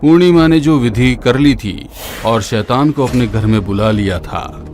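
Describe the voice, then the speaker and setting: Male horror narrator over loud music. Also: unhurried. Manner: steady, dramatic storytelling, drops low at grim moments. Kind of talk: scripted horror story narration.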